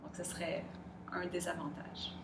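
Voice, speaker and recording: A middle-aged woman with a Québécois French accent answers questions in a measured, reflective way, often pausing to search for the right word. She sits some distance from the mic in a roomy, echoing space. Clear background noise runs under her voice throughout. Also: lowish voice, little pitch movement. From Quebec. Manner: thoughtful, pauses to search for words.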